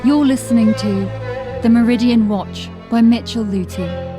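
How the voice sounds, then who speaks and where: A woman's voice: on the high side, measured pace, over music. A woman reading scripted opening credits as the announcer of an audio drama. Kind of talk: scripted narration.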